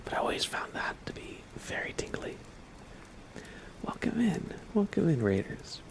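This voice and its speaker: A man hosting an ASMR livestream, chatting softly and mostly in whispers close to the microphone. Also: a little high, huge pitch swings, vocal fry. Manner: slow, soft, mostly whispered.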